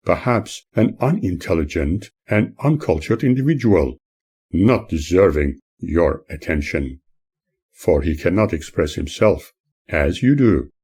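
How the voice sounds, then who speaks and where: Husky, low-voiced man reading measured narration. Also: lively intonation, a little mellow. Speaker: author reading own book. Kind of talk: scripted narration, audiobook-like reading.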